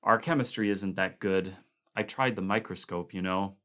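A man voicing a weary but level-headed camp leader who reasons things through calmly. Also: low-pitched, normal speed. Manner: calm, reasoning, tired.

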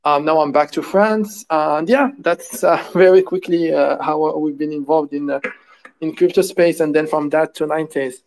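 Higher-voiced man from Morocco, rambling. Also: fairly even intonation, normal speed, poor audio. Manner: rambling, many fillers and restarts. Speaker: guest, game studio co-founder. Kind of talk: conversational interview answers. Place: at home, lives in France.

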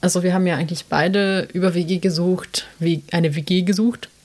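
A woman's voice: fairly low pitch, fairly even intonation, brisk, background noise. A young woman from South Tyrol with a South Tyrolean German accent, chatting casually on a podcast, rambling with many fillers. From South Tyrol, Italy. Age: young adult. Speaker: podcast co-host. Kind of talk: casual chat.

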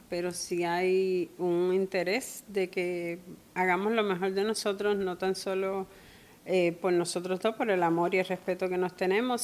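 A middle-aged woman with a Cuban accent tinged by Colombian speech, co-hosting a casual chat with her husband and laughing easily. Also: mid-range voice. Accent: Cuban, blended with Colombian. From Cuba. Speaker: co-host.

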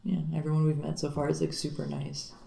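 A woman's voice: deep voice, flat delivery, quick pace, gravelly, warm tone. A young woman from Miami, a guitarist and singer, chatting casually in an interview with many fillers. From Miami, Florida.

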